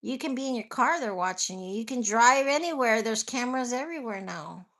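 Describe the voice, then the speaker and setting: A woman with a stuffy nose talks loosely to her live chat, hopping from thought to thought and dropping the odd swear. Her voice sits a little high and rings bright and forceful. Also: lively intonation, a little fast. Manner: rambling, laughs often, swears casually. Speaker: livestream host chatting with viewers.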